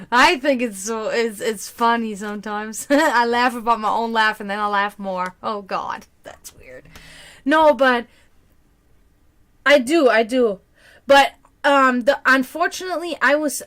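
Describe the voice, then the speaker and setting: A woman's voice: fairly high pitch, a bit bright. A woman in casual livestream chat, giving tarot readings, rambling and laughing often.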